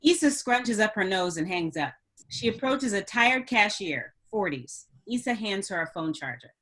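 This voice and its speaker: Woman reading stage directions for a script table read, mid pitched voice. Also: expressive, normal speed, fairly bright tone.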